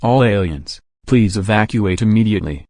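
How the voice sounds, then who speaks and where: A man's voice: lowish voice, expressive, normal speed, mellow, no echo, studio quality. A man reading a scripted mock civil alert as a formal emergency announcer.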